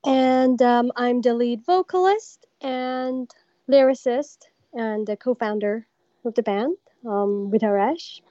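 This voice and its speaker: A young woman from Iran talks slowly and thoughtfully, leaving pauses and fillers as she answers. Her voice sits a little high. The sound is dry and a bit muffled, like a remote call. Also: mellow, dry recording. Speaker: lead vocalist of a metal band. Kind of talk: casual interview answers.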